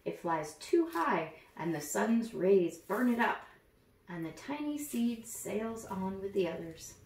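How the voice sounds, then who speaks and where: A woman reading a picture book aloud to young children in an expressive storytelling manner, with simple phrasing. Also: mid-range voice, slow. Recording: roomy echo, distant from the mic.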